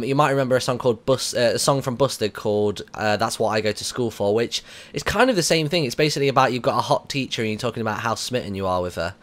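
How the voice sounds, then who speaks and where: A man's voice: mid-range voice, a bit bright. A British man hosting a radio show in a casual, chatty interview style.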